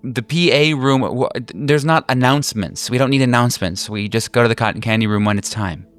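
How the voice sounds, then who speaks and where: A man's voice: mid-range voice, fairly quick, lightly acted. A man voicing a distracted, brusque, hyper woman with curt, stammering questions in a tabletop horror game. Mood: flustered.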